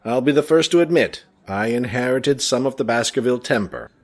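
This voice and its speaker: A man playing a frank, courteous heir to a manor in a radio play, with a husky voice. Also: medium pitch, animated, a little fast, lightly acted. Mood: frank, animated.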